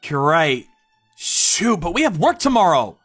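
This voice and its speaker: A woman's voice: fairly low pitch, very animated, unhurried, fairly bright tone, slightly rough, dry recording, clean studio sound, soft background music, full character voice. A woman voicing an earnest young male CEO in a manga story dub, polite, hesitant and apologetic. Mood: friendly, hesitant.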